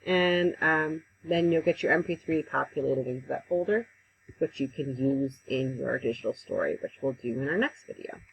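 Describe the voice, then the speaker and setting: A woman giving a casual, conversational software tutorial over a headset mic, with frequent ums. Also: very deep voice, moderate pace, creaky, a little hiss or hum, rough recording. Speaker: instructor. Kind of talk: screen-recorded software tutorial.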